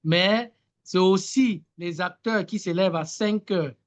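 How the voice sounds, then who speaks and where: Man, high voice, passionate pleading, stammering repeats, dull lo-fi call audio. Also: unhurried. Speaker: nominee and farming advocate.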